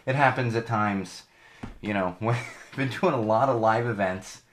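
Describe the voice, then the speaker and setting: A man hosting a podcast live, slightly hoarse from losing his voice, talking in a chatty, rambling way and correcting himself as he goes. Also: fairly low pitch, normal speed, a little hiss or hum. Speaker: podcast host. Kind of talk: live podcast monologue with plugs.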